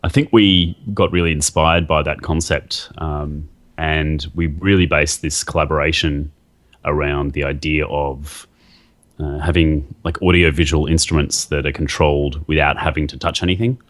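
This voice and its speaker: A man's voice: rumbling low, fairly even intonation, moderate pace, fairly bright tone. A man interviewed as a guest artist, talking casually and hesitantly, with many fillers and restarts.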